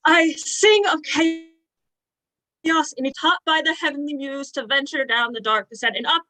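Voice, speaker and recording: A woman's voice: sits high, normal speed, bright, forceful tone, no room sound. A woman playing a lofty young male poet in a gothic drama reading, theatrical in manner. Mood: exalted.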